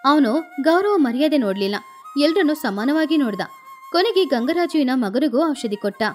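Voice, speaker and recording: A woman narrates a scripted moral story in a high voice. She works as a storyteller, reading the tale over faint background music. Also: expressive, normal speed, a little mellow.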